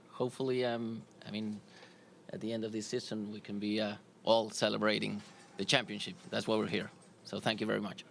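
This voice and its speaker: Spanish accent guy at a press conference, gravelly low voice, lots of uh and restarts, faint room hum. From Spain. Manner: halting, many fillers and restarts. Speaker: sponsor company executive.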